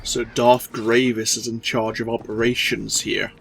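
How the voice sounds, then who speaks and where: A man's voice: mid-range voice, unhurried, soft background music, low-quality recording. Man with a head cold narrating gameplay. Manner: relaxed, explanatory. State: stuffy with a cold. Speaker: let's play host. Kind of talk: casual gameplay commentary.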